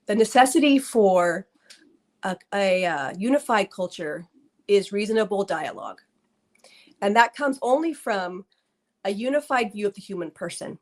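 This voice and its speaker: Earnest woman author full of restarts. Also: medium pitch. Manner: earnest, many fillers and restarts. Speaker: author guest. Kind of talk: conversational interview.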